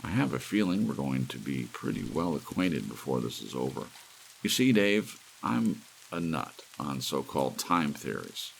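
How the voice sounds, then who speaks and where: Casting: narrator as intent elderly male scientist, audiobook sci-fi, lively swings of pitch, lecturing and sure of himself. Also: moderate pace, creaky, a little hiss or hum, exaggerated voice, rough recording. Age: 60s. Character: brisk, brainy elderly male scientist, intent and self-assured.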